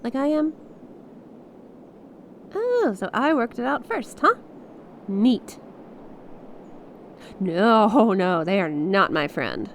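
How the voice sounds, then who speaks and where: A woman playing an earnest, awestruck stranded space pilot in an ASMR audio roleplay, speaking expressively and haltingly. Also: mid-range voice, a little hiss or hum. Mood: excited, wry. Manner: expressive, halting, conversational, with small laughs and breathy exclamations. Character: stranded young female star scout pilot, awestruck and earnest.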